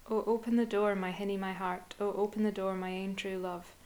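A woman voicing a croaking yellow frog who courts a girl, in a sing-song, pleading chant. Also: mid-range voice, level tone, a little fast, natural voice, rough recording. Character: croaking yellow frog suitor, male, insistent.

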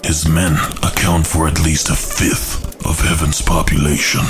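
Man playing very deep, slow, scheming angel. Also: level tone, music in the background. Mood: solemn, conspiratorial. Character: scheming rebel male angel, grave and persuasive. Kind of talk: audio drama acting.

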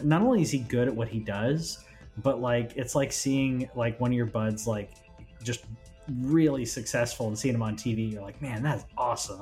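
A man's voice: mid-range voice, darkish tone. A man co-hosting a gaming news show in a loose, friendly, informal conversational way. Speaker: gaming news podcast co-host. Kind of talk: casual chat.

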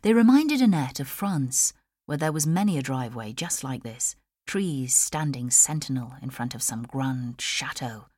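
Low-pitched British woman narrating. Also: expressive, normal speed, darkish tone, breathy edge, dry recording, clean studio sound. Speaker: audiobook narrator. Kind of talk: scripted fiction narration. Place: studio.

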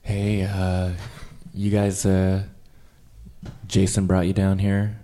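A man's voice: sits low, completely flat delivery, slow pace, dark tone. Man playing a chummy, matter-of-fact captive. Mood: casually sympathetic. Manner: casual, apologetic, matter-of-fact about horror. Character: chummy, oddly upbeat male captive in a killer's basement. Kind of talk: improvised comedy radio theater.

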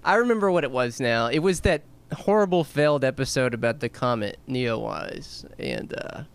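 A man co-hosting a podcast, chatting casually and rambling, his voice going hoarse. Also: mid-range voice, lively intonation, normal speed. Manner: rambling, laughing, trailing off. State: losing his voice. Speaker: podcast co-host. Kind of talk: casual chat.

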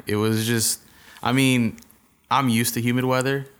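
A man's voice: medium pitch, level tone, a little slow, a bit bright, clear, resonant tone. A man co-hosting a casual podcast, talking in a laid-back way with dry remarks and slang. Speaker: podcast co-host.

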